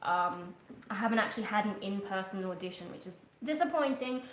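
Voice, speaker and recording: A woman's voice: medium pitch, lively intonation, brisk, a bit bright, a little hiss or hum. Chatty young woman in echoey room. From Australia. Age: young adult. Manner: rambling, chatty, many ums and restarts. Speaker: livestream host, metal singer. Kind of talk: casual live chat. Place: living room, on a couch, indoors. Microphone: webcam at a distance.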